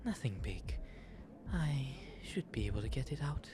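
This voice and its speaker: A man playing a genteel, shy, flirtatious owl demon nobleman in an ASMR roleplay, with soft, breathy, formal and wryly self-deprecating speech. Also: medium pitch, sing-song, normal speed, background noise, lightly acted. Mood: flustered, polite. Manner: refined formal diction, polite, wry, self-deprecating. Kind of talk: ASMR roleplay, intimate audio drama. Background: music throughout.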